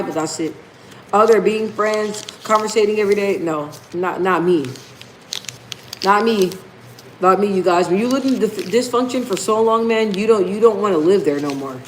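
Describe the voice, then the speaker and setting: A woman's voice: mid-range voice, slight background noise, low-quality recording. A woman hosting a casual live stream, talking emphatically, repeating key phrases and reading viewer comments aloud. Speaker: livestream host.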